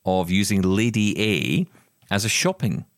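A man's voice: lowish voice, a little slow, no room sound, studio quality. A Scottish man co-hosting a tech podcast, chatty and joking with plenty of fillers. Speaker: podcast co-host. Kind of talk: casual tech podcast chat.

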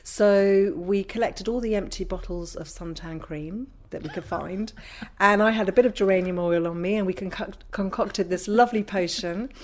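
A woman's voice: medium pitch, moderate pace. London woman in her fifties telling stories. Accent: London English. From London, England. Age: 50s. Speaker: interview guest, craniosacral therapist. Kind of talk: casual anecdotal storytelling.

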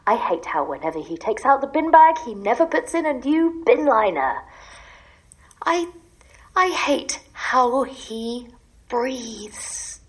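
Exasperated wife in an audio drama; bitter ranting, very expressive intonation, pleading edge. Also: female voice, on the high side, slow. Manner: ranting in long bitter lists, sometimes dropping to a secretive whisper. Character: exasperated, desperate wife sick of her husband, pleading to disappear.

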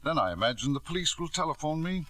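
An actor playing a scheming, reckless businessman and devoted father in 1950s radio drama, with a smooth, theatrical delivery. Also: on the high side, big pitch swings, quick pace, pushed and bright. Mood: coolly calm.

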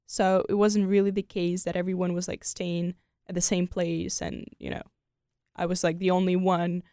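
A woman's voice: on the low side, level tone, normal speed, mellow, smooth, dry recording, studio quality. A young woman from Ukraine with a Ukrainian accent, a speaker of Ukrainian and Russian, chatting casually as an interview guest and filling her talk with "like" and "you know". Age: young adult.

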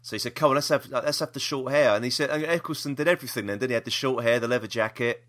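A man's voice: mid-range voice, rapid-fire, fairly bright tone. A British man hosting a podcast in casual chat, hesitant and rambling, often repeating himself. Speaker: podcast host.

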